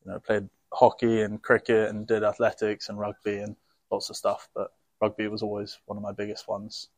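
A young man with a fairly low voice, answering interview questions in a relaxed and easygoing way. He laughs easily, and the recording is dry and close. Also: normal speed, no room sound. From grew up in many countries. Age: young adult. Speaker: rugby player guest.

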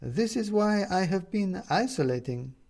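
A man's voice: sits high, expressive, a little slow, creaky, a little mellow, voice pushed up, full character voice. A man voicing a friendly, slightly embarrassed woman neighbour in an audiobook story. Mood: kindly, matter-of-fact.

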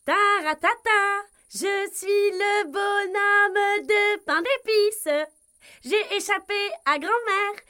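A woman's voice: extremely high pitch, bright, forceful tone, pitched above the performer's own voice, exaggerated voice. A woman voicing a cheeky, gleeful little gingerbread man with a taunting sing-song delivery in a children's story.

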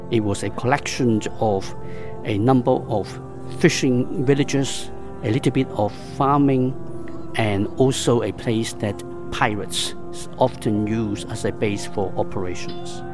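A man's voice: mid-range voice, measured pace, music in the background. Male professor explaining history. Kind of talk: interview answers.

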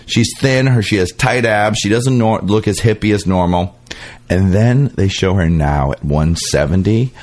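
A man's voice: on the low side, normal speed, darkish tone. A man hosting a casual comic gossip podcast in a sassy, catty, campy way. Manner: sassy, catty, campy, acts out imagined quotes. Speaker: podcast host. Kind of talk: casual celebrity gossip chat with comic banter.

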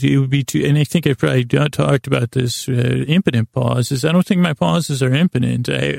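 Gravelly, halting man rambling at bedtime. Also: mid-range voice, darkish tone, no echo, clean studio sound. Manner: halting, meandering, self-interrupting with false starts; self-deprecating, absurdist. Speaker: podcast host.

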